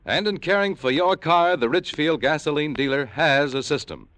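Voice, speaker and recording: A man's voice: a little high, normal speed, fairly bright tone, dry recording. An American man reading a scripted old-time radio commercial as an announcer.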